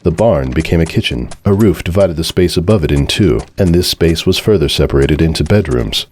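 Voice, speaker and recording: A man's voice: rumbling low, expressive, fairly quick, a little mellow. A man reading a scripted story as narrator, in a dramatic storytelling style.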